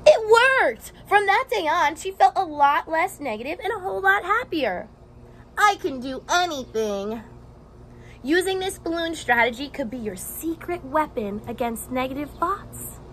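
A young woman teacher reading a picture book aloud to children outdoors, expressive and encouraging, with questions and exaggerated emphasis. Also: very high-pitched. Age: young adult. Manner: expressive, asks questions and pauses for answers. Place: outdoors on a house porch. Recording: faint outdoor background noise.

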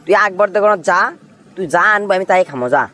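A man's voice: high-pitched, quick pace, bright, forceful tone. A man voicing a sly young village freeloader in a comic cartoon, teasing and insistent, with a Bogura accent from northern Bangladesh. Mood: needling. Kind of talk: comic cartoon dialogue in rural dialect.

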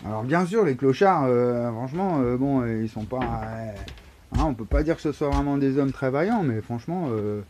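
A man's voice: medium pitch, animated, moderate pace, a little mellow, a little hiss or hum. A man, rambling to a phone camera in a wooden shed, repeating words and piling on fillers with sudden emphatic bursts. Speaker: vlogger. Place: wooden shed or barn, indoors. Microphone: phone camera held low at arm's length.

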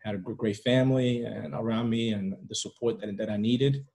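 Guy from rwanda on a video call podcast, lowish voice, chatting casually, repeats himself a lot, you know. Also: fairly even intonation, gravelly, dark tone. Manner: halting, repeats words, frequent "you know". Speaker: podcast guest. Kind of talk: casual interview storytelling. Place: indoor room at home, video call.

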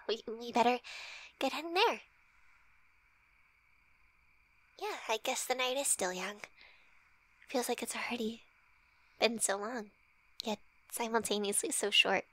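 A woman playing a flirty, shy, teasing best friend in an intimate ASMR roleplay, with breathy, hesitant, soft speech. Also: sits high, heavily acted. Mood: casual, happy. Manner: breathy whispering, hesitant, giggly.

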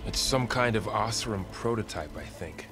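A low-voiced warrior companion in a video game, earnest and curious. He talks steadily and plainly, with music clearly behind him. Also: lively intonation, normal speed. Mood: steady, curious. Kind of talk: naturalistic video game cutscene acting.

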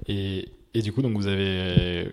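A man hosting a casual podcast interview, asking quick follow-up questions. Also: low-pitched, fairly even intonation, darkish tone, clean tone.

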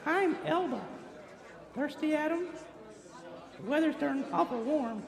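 An actress playing a friendly saloon keeper with a folksy, flirtatious drawl. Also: female voice, high voice, a little slow, vocal fry, a faint crowd. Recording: echoey stage with audience.

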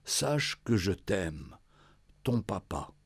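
Brief: a man playing a forgiving old father near death, low, soft and slow. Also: very animated, husky, dry recording, exaggerated voice. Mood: tender.

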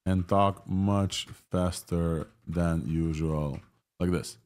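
A man in his thirties with a Russian and Israeli accent, hosting a live tech reaction video in an excited, rambling way. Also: low voice, slow pace. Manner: excited, rambling, laughs often. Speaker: tech show host. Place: home room, indoors.

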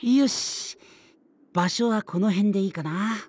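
アニメゲームで、遊び好きで得意げな若い男性の科学者の策士を演じる女性。からかうように、もったいぶって話す。. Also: female voice, mid-range voice, a little slow, a little mellow, lightly acted. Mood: playful, boastful. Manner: teasing, sing-song showing off. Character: playful, smug young male mad-scientist schemer. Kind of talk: anime game voice acting.

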